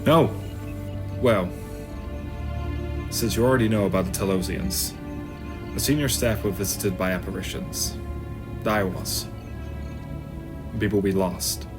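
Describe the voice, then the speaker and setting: Starship captain, male, played by an actor: commanding, lowish register, audio drama with music underneath. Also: measured pace, long pauses, close to an everyday voice. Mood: commanding, measured. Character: authoritative starship captain addressing his crew. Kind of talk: science fiction audio drama acting.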